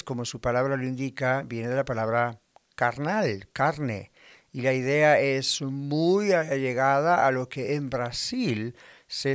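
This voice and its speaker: A man's voice: medium pitch, a bit bright, clear, resonant tone, no echo, studio quality. A man from Argentina preaching a sermon in a conversational way, full of rhetorical questions and imagined dialogue. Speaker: pastor.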